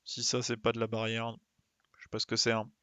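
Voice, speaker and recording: A man's voice: medium pitch, completely flat delivery, a little fast, pushed and bright, clean tone, dry recording. A man giving casual live gameplay commentary, thinking aloud and muttering to himself with small playful sound effects. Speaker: game streamer.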